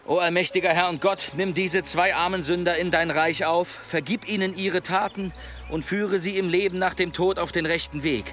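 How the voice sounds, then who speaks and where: A man's voice: sits high, steady tone, normal speed, a bit bright, soft background music. A man playing a boastful, pious and grumbling one-armed demon hunter in an audio drama, with a theatrical, wry delivery. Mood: solemn, pious.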